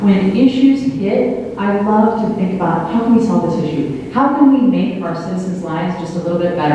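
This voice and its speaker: A woman who is a former mayor, running for city council, speaking in a chatty, upbeat way that comes in quick bursts. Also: on the low side, dark tone, slight background noise. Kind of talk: debate answer. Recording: echoey hall, distant mic.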